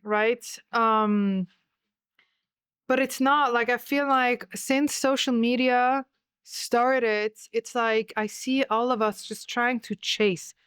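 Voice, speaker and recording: A woman's voice: on the high side, fairly even intonation, takes it slowly, fairly bright tone, clean tone, no room sound, clean studio sound. A woman hosting an interview podcast, talking reflectively and asking her guest questions. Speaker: podcast host. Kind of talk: casual interview chat.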